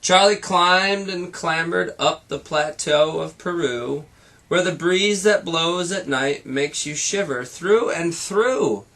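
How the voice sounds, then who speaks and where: A man's voice: on the high side, takes it slowly, a bit bright. A man reading a children's picture book aloud like a teacher, with rhythmic, dramatic delivery and playful asides.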